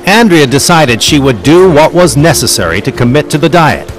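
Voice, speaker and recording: A man giving scripted documentary narration in a measured storytelling delivery. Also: on the high side, very animated, faint music behind, rough recording.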